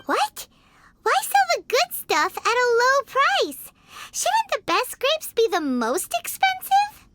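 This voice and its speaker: A woman voicing a tiny, excitable, childlike fairy companion with a squeaky voice in an anime video game. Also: takes it slowly, background noise, full character voice. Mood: amazed, curious. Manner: exclamatory, talks of herself in third person.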